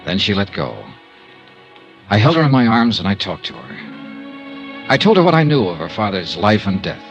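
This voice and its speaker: A man's voice: low voice, lo-fi sound. An American man playing a cool, courteous insurance investigator in 1950s noir radio drama, with a clipped, world-weary delivery. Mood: wistful. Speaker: lead actor and narrator. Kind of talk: 1950s noir radio drama acting and first-person narration. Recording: vintage radio recording with music.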